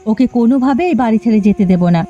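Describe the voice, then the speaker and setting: A woman voicing a caring, determined housewife in a cartoon, with a gentle, coaxing manner. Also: a little high, racing, muffled recording, close to an everyday voice. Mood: coaxing, concerned. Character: caring, determined housewife comforting her husband. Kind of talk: cartoon voice acting. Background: faint music.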